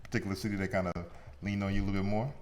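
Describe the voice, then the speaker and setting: A man with an American accent hosting a casual radio interview, speaking in an animated, colloquial way. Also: on the low side, very fast. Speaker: radio show host. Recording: clear background noise.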